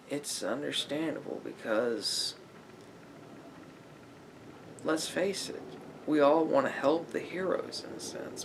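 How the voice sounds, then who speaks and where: A man playing a patient, probing therapist in a soft-spoken ASMR roleplay, with halting, deliberate phrasing and a gravelly voice that drops toward breathy near-whispers. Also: mid-range voice, slight background noise. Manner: halting, deliberate phrasing with pauses and reflective murmurs. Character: patient, probing male therapist gently counselling a client.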